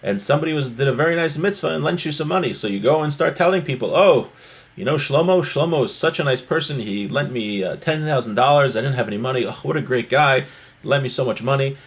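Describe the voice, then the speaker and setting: A man's voice: mid-range voice, expressive, fast talker, breathy edge. A man giving an informal religious lesson as a teacher, explaining a text conversationally and checking in with rhetorical questions.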